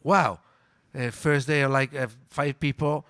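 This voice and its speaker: A man with an Italian accent, a native Italian speaker, talking in a casual interview. He tells lively stories, repeats words and stops to search for English words. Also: a little high, slow pace, smooth, dry recording, studio quality. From Italy. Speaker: guest.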